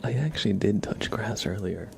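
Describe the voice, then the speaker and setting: A man hosting a casual ASMR livestream, chatting to viewers in a soft, breathy, whispery voice with an unhurried, rambling manner. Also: on the low side, expressive, slight background noise. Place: room at home with a window.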